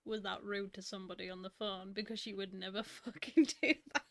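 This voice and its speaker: A woman's voice: mid-range voice, steady tone, brisk, no echo. A woman chatting casually as co-host, teasing and laughing often. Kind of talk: casual chat.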